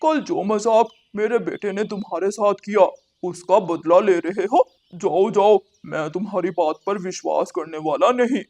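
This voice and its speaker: A woman's voice: medium pitch, a little slow, few pauses, darkish tone, pitched below the performer's own voice. A woman voicing a brash, foolish crow father in a cartoon, scoffing and mocking. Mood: dismissive.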